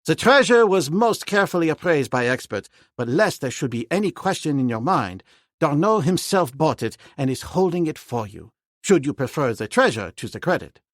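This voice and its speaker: A man reading an audiobook, voicing a calm, decisive young man raised in the jungle who speaks plainly. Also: on the high side, moderate pace, no room sound, studio quality, pitched above the performer's own voice. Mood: firm. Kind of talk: audiobook character voice.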